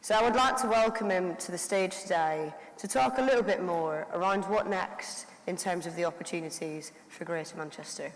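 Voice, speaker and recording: Low-voiced woman speaking in a hall. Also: a little hiss or hum. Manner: measured, formal delivery. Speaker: council leader introducing the keynote. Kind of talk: prepared conference speech. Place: large conference hall. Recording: long hall reverb.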